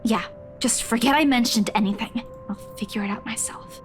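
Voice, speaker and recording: A woman's voice: on the high side, normal speed, a little hiss or hum, natural voice. An actress playing a troubled, defensive and weary young woman in a visual novel.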